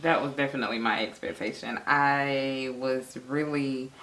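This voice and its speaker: An American woman in her thirties with a distinctly low voice reviews movies in casual, colloquial speech. She thinks aloud a little hesitantly. The room is echoey and she is a few feet from the mic. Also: steady tone, normal speed, a bit bright. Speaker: vlog co-host, movie reviewer. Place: small indoor room with bare wall.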